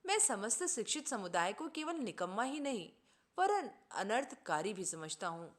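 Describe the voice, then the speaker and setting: A woman's voice: mid-range voice, big pitch swings, moderate pace, close to an everyday voice. A woman voicing an indignant, idealistic man in a storybook reading, speaking in an earnest, formal, oratorical way. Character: idealistic, indignant man preaching justice and selfless service.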